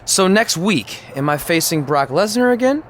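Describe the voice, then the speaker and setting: A man's voice: high-pitched, lively intonation, normal speed, fairly bright tone, a little hiss or hum. An actor playing a cocky young male wrestling champion in a video game, flippant and sarcastic. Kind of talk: video game cutscene acting.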